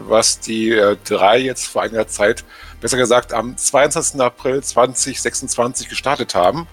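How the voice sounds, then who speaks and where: A man's voice: medium pitch, a bit bright. A man hosting a podcast, moderating an interview with guests. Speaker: podcast host.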